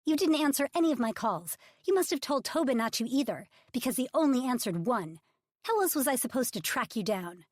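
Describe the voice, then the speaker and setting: A woman voicing a high-strung female Hollywood agent whose voice is high-pitched, strained, loud and scolding. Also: animated, a little fast, no echo, studio quality. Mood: angry scolding.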